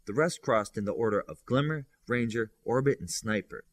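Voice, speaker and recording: A man's voice: mid-range voice, moderate pace, no echo. A man reading a scripted science fiction audiobook as its narrator.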